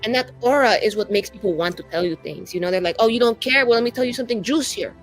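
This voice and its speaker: A woman's voice: fairly high pitch, quick pace. A woman improvises in character during a tabletop game. Her talk is casual and gossipy, packed with "like" and acted-out asides. Faint music plays behind her. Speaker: tabletop roleplaying player.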